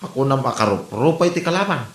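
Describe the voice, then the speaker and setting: Man acting a lively nosy Ilocano buddy. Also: medium pitch, quick pace, echoey room, natural voice. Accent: Ilocano Filipino. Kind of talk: Ilocano comedy drama dialogue.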